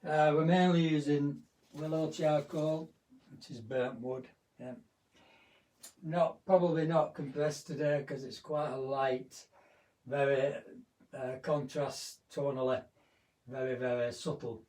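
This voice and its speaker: A hoarse man, chatting through a live painting demonstration at home. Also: a little high, steady tone, slow, mellow, recorded from a distance, poor audio. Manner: chatty, rambling, with fillers. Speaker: art teacher.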